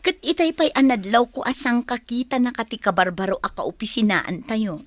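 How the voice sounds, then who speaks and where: A woman's voice: on the high side, expressive, normal speed, no room sound, lightly acted. An actress playing a giggly, gossipy young woman coworker in an Ilocano radio drama. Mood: gossiping.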